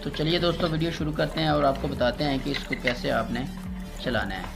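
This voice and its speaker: A man's voice: a little high, fairly quick, gravelly. A man giving a casual, step-by-step how-to demonstration, repeating his instructions and addressing viewers as friends. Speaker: electrician giving a tutorial. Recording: loud music behind the voice.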